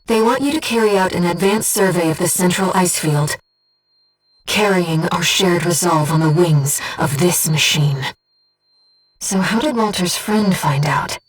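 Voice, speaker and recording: A woman playing an earnest, devoted young female spirit guide in a video game, speaking with composed, gentle precision as a mission operator. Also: on the low side, distant mic, rough recording. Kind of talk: scripted video game voice acting.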